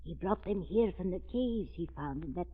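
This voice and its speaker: A woman's voice: lowish voice, lively intonation, normal speed, husky. An actress playing a superstitious, foreboding Croatian servant woman, grave and dramatic in 1930s radio drama style. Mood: grim. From Croatia, as the character. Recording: tinny, echoing old radio recording.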